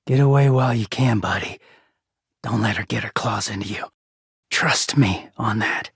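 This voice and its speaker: A man's voice: on the low side, normal speed, gravelly, husky, no echo, studio quality, full character voice. A man voicing a bitter, exasperated, sardonic, foul-mouthed young male living-laundry character in a video game. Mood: wary. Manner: sardonic, foul-mouthed, swinging from muttering to shouting.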